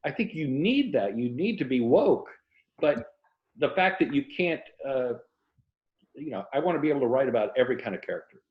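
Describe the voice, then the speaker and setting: A man's voice: medium pitch, expressive, brisk. An older American man in a casual interview about his craft, thinking aloud in a conversational way. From Tampa, Florida, United States. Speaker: author, interview guest. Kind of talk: casual literary interview chat. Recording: muffled, echoey room audio.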